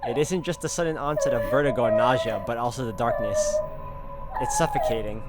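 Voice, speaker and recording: A man's voice: medium pitch, wildly swooping pitch, brisk. A man hosting a game playthrough, reading the story text dramatically aloud and exclaiming at it. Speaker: gaming video host. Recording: loud game music behind voice. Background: game music.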